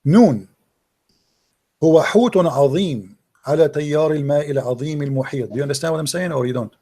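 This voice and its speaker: Man with a mellow voice, explaining. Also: a little high, very fast. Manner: questioning, explains patiently, reads passages aloud. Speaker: debate host. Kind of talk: live call-in debate, reading texts aloud.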